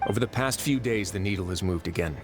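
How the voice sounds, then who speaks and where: An actor as a calm, low-voiced fortress warden. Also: male voice, close to an everyday voice. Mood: measured, explaining. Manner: measured, formal, explanatory. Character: calm, authoritative prison fortress warden, self-possessed and wry. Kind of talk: English video game voice acting. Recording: game music playing behind the voice.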